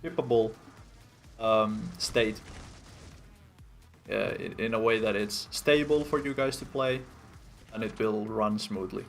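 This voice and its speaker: A man's voice: fairly low pitch, steady tone, slow pace, gravelly, pauses often, faint music behind. A man from Finland, hosting a game developer's community stream, answering viewer questions in a casual, hesitant way as he searches for English words.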